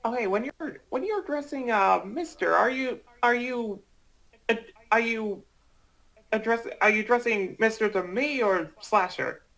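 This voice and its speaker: A man with a heavily creaky voice chats casually in a group. He talks in a halting way, circling back and repeating phrases as he gets his point out. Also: very high-pitched, a bit bright, rough recording. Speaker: project organiser.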